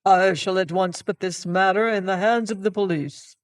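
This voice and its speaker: Stern chairman and magistrate, formal, measured, voiced by a reader. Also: mid-range voice, fairly even intonation, lightly acted. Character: stern older male board chairman, magistrate.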